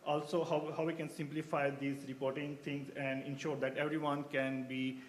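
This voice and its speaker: A man's voice: on the high side, little pitch movement, normal speed, slight background noise. Hesitant man from Pakistan giving a conference talk. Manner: hesitant, many fillers and restarts. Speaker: researcher presenting at a symposium.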